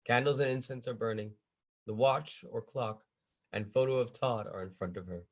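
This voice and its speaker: A man's voice: medium pitch, moderate pace. A man reading stage directions as the narrator of a script table read.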